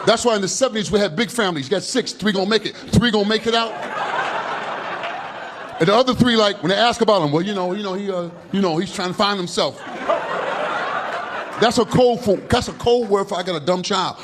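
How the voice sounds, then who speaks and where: Man in his 50s, stand-up comic, handheld mic on a club stage, loud and animated, live audience laughter and room noise. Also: high voice, fairly quick, long pauses, crowd noise. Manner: loud, animated, slangy storytelling with heavy gestures. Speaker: stand-up comedian. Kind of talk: stand-up comedy routine.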